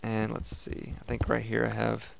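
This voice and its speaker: A young man with a raspy voice, casually chatting and thinking aloud as he hosts a gameplay video. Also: fairly low pitch, moderate pace. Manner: relaxed, chatty, thinking aloud while playing. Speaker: let's play host.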